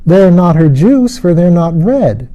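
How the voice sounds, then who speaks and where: An innocent little child from a fairy tale, acted out by a male reader, earnest and full of wonder. The voice is lifted high and stays soft and dark in tone. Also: sing-song, measured pace, muffled recording.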